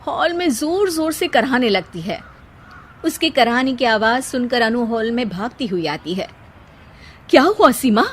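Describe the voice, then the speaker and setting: A woman with a fairly high voice works as a storyteller. She delivers scripted narration for a children's cartoon story. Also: animated, normal speed, a little hiss or hum.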